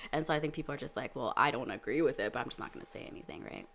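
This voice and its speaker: A woman's voice: very deep voice, animated, very fast, creaky, fairly bright tone, slight background noise. A woman from the Netherlands and Canada speaking candidly and informally as a guest in a casual interview. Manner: candid, informal, frequent "like" and tag questions. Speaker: interview guest, community group founder.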